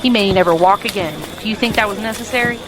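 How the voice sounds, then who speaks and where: A woman's voice: mid-range voice, fast, background noise, lightly acted. An actress playing a wary, disapproving woman fighter in an audio drama, probing and restrained.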